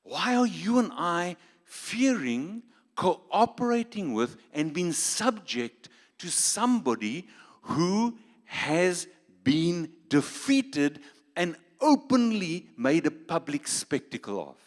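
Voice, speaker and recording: A South African man preaches slowly and deliberately, his voice swinging widely from hushed lows to loud, high peaks. His sentences come in emphatic bursts built on rhetorical questions. The sound carries a long reverberant tail, as in a large church hall. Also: sing-song. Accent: South African English. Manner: emphatic, rhetorical questions, switches to Afrikaans for jokes. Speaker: pastor. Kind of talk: sermon.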